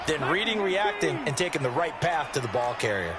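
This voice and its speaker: Chatty, higher-pitched American male football analyst. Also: sing-song, a little fast, background noise. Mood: analytical, engaged. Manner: conversational, explanatory. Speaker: color commentator. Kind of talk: analytical American football video game commentary. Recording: loud music behind.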